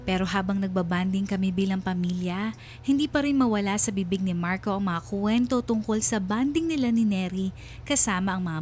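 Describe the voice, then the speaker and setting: Sorrowful storyteller wife played by a woman, mid pitch, dramatic scripted narration, slight noise. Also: close to an everyday voice. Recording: faint background noise.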